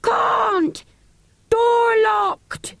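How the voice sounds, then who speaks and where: Woman as a bold, slow-talking bossy schoolboy. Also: very high-pitched, level tone, gravelly, fairly bright tone, no room sound, voice pushed up, exaggerated voice. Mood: loud calling. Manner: slow, deliberate, emphatic commands. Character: bossy young schoolboy leader, bold and urgent.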